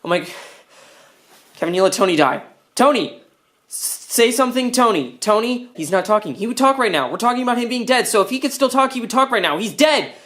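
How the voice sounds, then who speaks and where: A man's voice: extremely high pitch, lively intonation, fairly quick. A man acting in a comedy skit as a self-centred, whiny, melodramatic character, exasperated and prone to outbursts, in a roomy space. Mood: panicked, accusing. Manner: exasperated, mock-earnest, bursts into shouting. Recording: roomy, echoing indoor sound.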